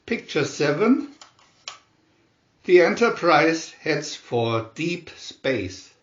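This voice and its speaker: A man reading scripted storybook narration in a deliberate, dramatic announcing manner. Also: on the high side, sing-song. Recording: dull, echoey room recording.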